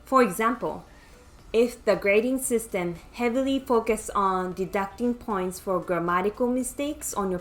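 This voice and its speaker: A woman's voice: medium pitch, fairly bright tone. Japanese-accented woman giving earnest advice. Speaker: nurse practitioner mentor. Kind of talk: scripted educational talk.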